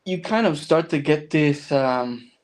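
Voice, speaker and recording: A man's voice: on the high side, a little slow. Latin American man reflecting aloud. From Argentina. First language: Spanish. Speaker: guest. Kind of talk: reflective personal storytelling in conversation.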